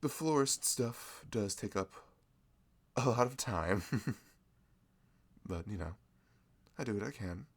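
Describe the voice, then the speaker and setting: A man's voice: lowish voice, animated, slow, pauses often, full character voice. A man voicing a friendly, nerdy young florist in an ASMR roleplay, rambling, laughing often and teasing himself. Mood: chatty.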